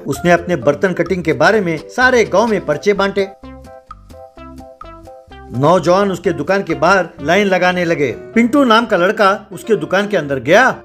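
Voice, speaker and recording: A man giving scripted narration of a comic animated story. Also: sits high, normal speed, faint music behind.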